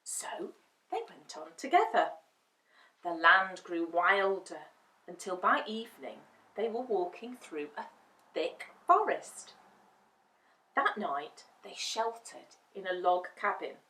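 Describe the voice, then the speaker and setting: A woman reading a children's storybook aloud as an expressive storyteller. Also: fairly low pitch, slow. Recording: roomy, distant microphone.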